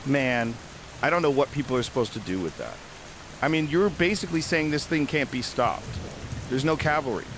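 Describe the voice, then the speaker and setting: An actor playing a weary, shaken survivor hosting an emergency radio broadcast in a drama, with plainspoken, informal, uneasy delivery. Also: male voice, mid-range voice, animated, normal speed, noisy background, close to an everyday voice.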